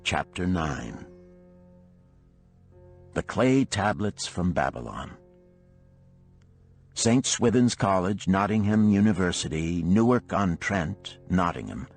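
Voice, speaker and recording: Male narrator, low register, formal and measured scripted storytelling, light music bed underneath. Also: lively intonation. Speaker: audiobook narrator. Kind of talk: scripted book narration, reading letters and parables. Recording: music behind the reading. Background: background music.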